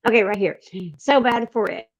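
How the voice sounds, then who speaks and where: A woman's voice: fairly high pitch, moderate pace. A woman chatting casually as a panel host, reading out and responding to viewer comments.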